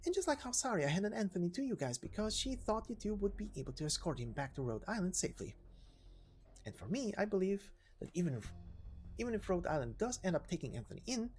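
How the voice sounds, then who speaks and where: A woman playing a lively, scheming female director. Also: mid-range voice, fast, faint music behind, natural voice. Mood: coolly persuasive. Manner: persuasive, teasing, matter-of-fact. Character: smooth scheming female corporate director, playful and businesslike. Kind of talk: dramatic game story reading.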